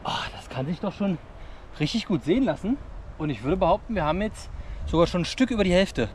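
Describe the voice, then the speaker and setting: Male outdoor vlogger, 30s, fairly high voice, casual matey how-to delivery to camera, forest recording with clear background noise. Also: lively intonation, quick pace. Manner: colloquial, chummy address to viewers. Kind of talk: casual bushcraft how-to vlog, talking to camera.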